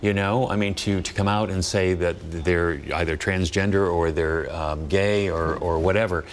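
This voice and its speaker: Male morning show host, American, low voice, conversational on-air interviewing. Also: fairly even intonation, normal speed, slight background noise.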